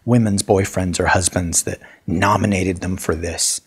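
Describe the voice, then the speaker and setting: A man co-hosting a casual podcast, telling stories in a lively way with lots of restarts. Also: low voice, normal speed, breathy edge. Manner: animated storyteller, many restarts. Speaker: podcast co-host. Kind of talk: casual chat.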